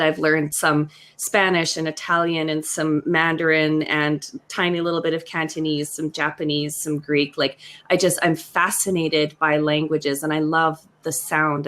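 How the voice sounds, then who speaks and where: Woman, Western Canadian accent, thirties, lowish pitch, chatty, enthusiastic, video call. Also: a bit bright. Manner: chatty, enthusiastic, frequent fillers. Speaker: co-host. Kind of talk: casual video-call chat.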